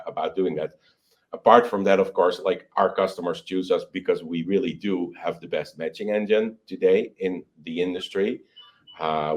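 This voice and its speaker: A man's voice: deep voice, a little mellow. A man giving conversational interview answers as a company executive, often breaking off and restarting, with an enthusiastic manner.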